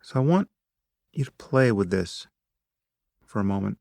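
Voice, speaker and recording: A man narrating a guided meditation in a measured, instructive manner, speaking in short phrases with long pauses between them. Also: lowish voice, expressive, vocal fry, darkish tone, dry recording, clean studio sound.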